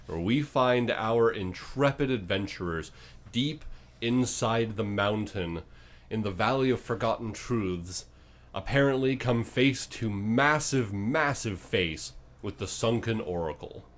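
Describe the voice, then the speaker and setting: Low-voiced man narrating as game master. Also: expressive, a little slow. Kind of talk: improvised narration of a tabletop game.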